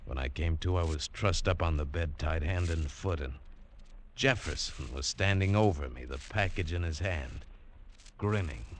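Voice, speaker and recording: A man's voice: a bass voice, moderate pace, slight background noise. An actor playing a tough, wary adventurer who tells his story in clipped, world-weary 1940s radio drama style. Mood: hard-boiled, brooding. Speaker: lead actor and first-person narrator. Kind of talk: 1940s radio adventure drama acting and hard-boiled narration. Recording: 1940s radio recording, music underneath.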